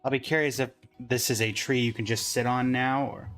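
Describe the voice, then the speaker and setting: A man's voice: medium pitch, fairly even intonation, fairly quick, gravelly, a bit bright, faint music behind. A man streaming a game with casual, musing commentary, thinking aloud as he plays.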